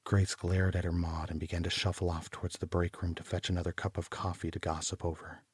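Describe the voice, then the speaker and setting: An actor playing a troubled homicide detective, narrating his case in a deliberate, brooding, literary style for an audio drama. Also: deep voice, level tone, husky, no echo, studio quality. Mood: brooding, uneasy. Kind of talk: scripted first-person noir audio drama narration.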